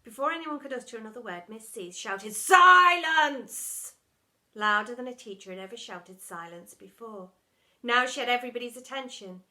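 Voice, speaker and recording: A woman's voice: on the high side, fairly quick, bright, forceful tone. A woman narrating a children's story in a dramatic storytelling style, as for primary school pupils.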